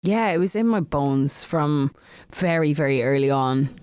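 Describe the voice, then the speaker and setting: A woman's voice: deep voice, expressive, a little slow, a little mellow, clear, resonant tone, no room sound, clean studio sound. An Irish woman, a singer-songwriter, talking casually as an interview guest, chatty with frequent laughs and restarts. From Ireland.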